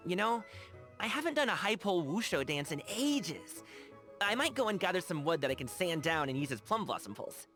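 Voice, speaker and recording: Animated, eager young man over music. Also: high-pitched, heavily acted. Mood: cheerful, eager. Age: young adult. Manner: casual, chatty, slangy, eager. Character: cheerful, earnest young male lion-dancer and tea lover. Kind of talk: animated video game character dialogue.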